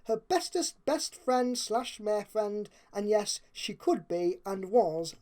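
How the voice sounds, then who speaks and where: A woman reading a story aloud as narrator, with expressive storytelling and dramatic emphasis. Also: mid-range voice, slow. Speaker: fan fiction narrator.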